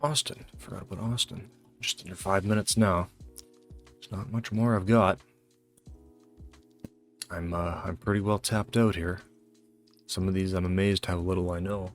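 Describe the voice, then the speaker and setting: Low-voiced man thinking aloud, halting. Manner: halting, muttering to himself. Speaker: host of a geography guessing challenge video. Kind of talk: casual thinking aloud during game commentary.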